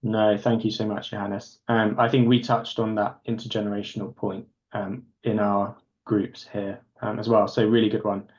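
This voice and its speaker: A man's voice: fairly low pitch, little pitch movement, moderate pace, hardly any pauses, a little mellow, lo-fi sound. A man hosting a forum discussion, thanking contributors briefly and inviting more to share. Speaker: host. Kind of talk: moderating a forum.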